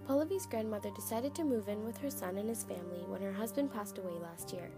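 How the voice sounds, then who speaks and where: A teenage girl reporter giving a measured voice-over narration for a news story. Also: medium pitch, over music. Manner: measured voice-over reading statistics. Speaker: student reporter. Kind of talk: scripted narration.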